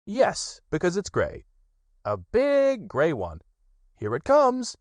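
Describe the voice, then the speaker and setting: A man voicing a gentle, simple-minded male teddy bear who speaks in a slightly raised, hesitant voice. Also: high-pitched, wildly swooping pitch, slow, no room sound, pitched above the performer's own voice, heavily acted. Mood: earnest. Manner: hesitant, fumbling.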